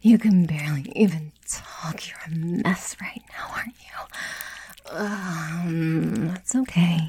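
A woman whispering intimately in an erotic audio style, breathy and sultry, with slow murmurs and moans. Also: low voice, a little mellow, slight background noise.

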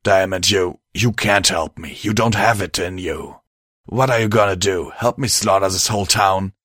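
A man's voice: low-pitched, normal speed, fairly bright tone, dry recording, studio quality. A man voicing a stubborn, desperate male unicorn pony, curt and confrontational, in a fantasy story. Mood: dismissive.

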